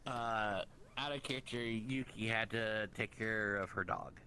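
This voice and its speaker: A man's voice: lowish voice, unhurried, bright, forceful tone. A man taking part in an improvised roleplay, chatting in character as a player. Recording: faintly noisy voice chat.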